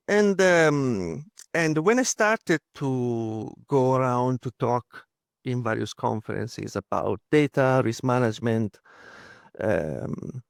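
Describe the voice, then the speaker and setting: A man's voice: mid-range voice, no room sound. Italian guy in his 30s chatting on a podcast, lots of uhs and restarts, dry close mic. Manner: halting, many fillers and restarts. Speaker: guest. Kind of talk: casual interview talk.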